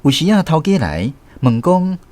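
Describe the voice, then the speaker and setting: A man's voice: on the high side, sing-song, moderate pace, darkish tone, no echo. A man playing a grown storyteller reflecting on his boyhood, narrating a radio drama with a Taiwanese accent. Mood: reflective.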